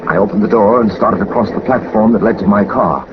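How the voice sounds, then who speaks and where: An actor playing a suave, unflappable detective with polite, dry understatement, in the acting and narrating style of a 1940s radio drama. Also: male voice, lowish voice, brisk, lightly acted. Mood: tense narration. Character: suave, unflappable male detective, dryly witty. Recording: dull, very noisy 1940s radio recording.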